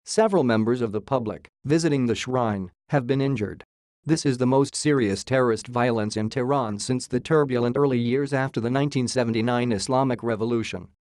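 A man's synthetic voice reading a scripted news report in a flat, read-out manner. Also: mid-range voice, brisk, no echo, clean studio sound. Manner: flat synthetic read-out, spells out abbreviations and symbols. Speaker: news reader.

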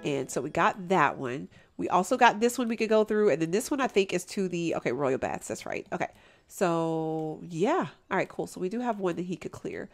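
A woman's voice: fairly low pitch, animated, normal speed. A young woman, chatting casually over a video game and calling her viewers "y'all". She sounds nasal and stuffed up, and she laughs often. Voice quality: nasal from allergies. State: stuffy nose. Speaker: gameplay commentator. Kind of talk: casual let's play commentary.

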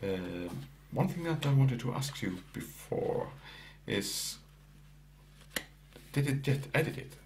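A man interviewing an author, asking measured, leading questions. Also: medium pitch, very animated, a little hiss or hum.

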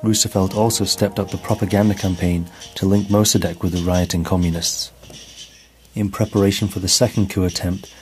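A man narrating a scripted documentary in a measured, formal manner. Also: deep voice. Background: background music.

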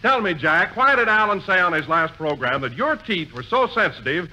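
A man announcing and selling a product in a 1940s radio comedy, hearty and smooth, recorded in a theater. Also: big pitch swings, normal speed, pushed and bright, slight background noise, poor audio. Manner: hearty, smooth salesman pitch. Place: theater stage with live audience.

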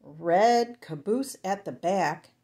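A woman's voice: mid-range voice, lively intonation, slow, warm tone. A woman reading a picture book aloud to small children, sing-song and playful, speaking to them directly. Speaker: children's storyteller.

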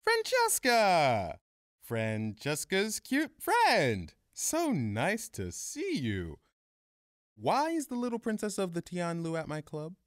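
An actor playing a suave, flirtatious mobster nightclub owner in an audio drama, theatrical and smooth-talking. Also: mid-range voice, wildly swooping pitch, slow pace, no room sound, studio quality. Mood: oily charm.

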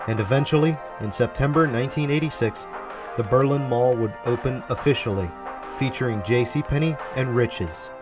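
A man delivering scripted, documentary-style narration for a walkthrough video. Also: mid-range voice. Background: background music.

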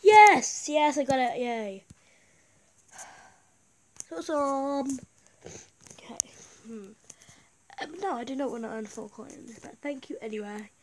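High-pitched rambling gamer with a cold. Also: animated, measured pace, long pauses. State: has a bad cold. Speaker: gaming YouTuber. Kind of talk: casual gameplay commentary.

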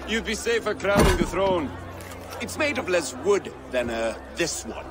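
An actor playing a crude, belligerent Danish warrior in a video game, with a scornful, swaggering manner. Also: male voice, sits high, very animated. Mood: sneering. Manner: scornful, swaggering, drawn-out insults. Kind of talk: video game cutscene acting. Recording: music and crowd behind.

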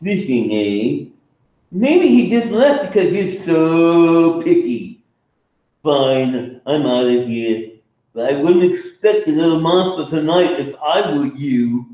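A man's voice: fairly high pitch, lively intonation, slow pace, warm tone, heavy reverb, muffled recording, voice pushed up. A man voicing Mac, a big, scruffy, slobbering male monster who slurps and whines, in a storybook. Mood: whiny. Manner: slurping, whiny.

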